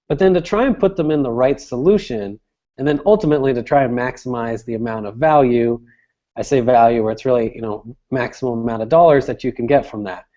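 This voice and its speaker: A man with a mellow, soft-edged voice at a medium pitch answers as an interview guest. He explains things conversationally, restating phrases as he goes. The audio is slightly dull and lo-fi. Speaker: interview guest, sales director.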